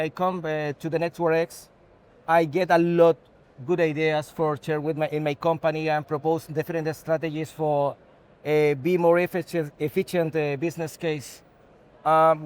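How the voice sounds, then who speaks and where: A man from Chile with a Chilean Spanish accent, giving unscripted interview answers as a technology executive in halting, non-native English. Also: fairly high pitch, a little mellow, smooth.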